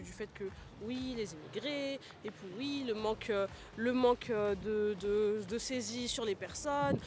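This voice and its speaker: A man's voice: extremely high pitch, background noise. A man passerby giving critical opinions, often searching for the right words. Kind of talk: casual street interview opinion. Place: outdoors on a city street.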